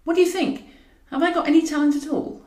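A woman's voice: high voice, fairly even intonation, quick pace, darkish tone, voice pushed up, lightly acted. Woman voicing an earnest, hopeful man, roomy sound. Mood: eager, hopeful. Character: earnest, hopeful male prison governor, amateur drag singer. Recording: roomy echo, distant from mic.

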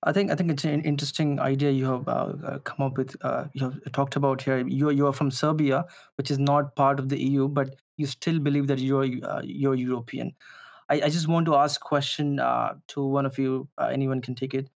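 A man moderates a panel discussion, putting questions to the others. His voice has a gravelly, fry-laden texture. He speaks haltingly, with repeated words and many uhs. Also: mid-range voice, little pitch movement. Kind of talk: casual discussion.

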